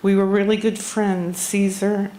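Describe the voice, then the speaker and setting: A woman giving a memorial tribute at a gathering, speaking haltingly with frequent pauses and ums. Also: mid-range voice, little pitch movement, mellow, slight background noise, low-quality recording. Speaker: eulogy speaker. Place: outdoor barn gathering.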